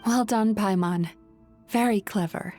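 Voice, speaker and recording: A woman playing a calm young nightmare hunter in a video game, speaking in a composed, reassuring, explanatory way. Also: medium pitch, a little slow, close to an everyday voice. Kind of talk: scripted video game voice acting. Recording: music under the voice. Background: game music.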